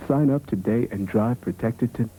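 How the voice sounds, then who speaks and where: A man's voice: medium pitch, moderate pace, warm tone, a little hiss or hum, close to an everyday voice. An American man reading a scripted informational commercial as announcer. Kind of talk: scripted public information ad read. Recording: tinny band-limited 1970s broadcast tape.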